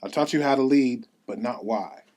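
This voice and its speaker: A man's voice: mid-range voice, moderate pace, darkish tone, close to an everyday voice. A man reading the part of a tender, burdened mother who earnestly urges her daughter to lead, at a table read. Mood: tender, urging.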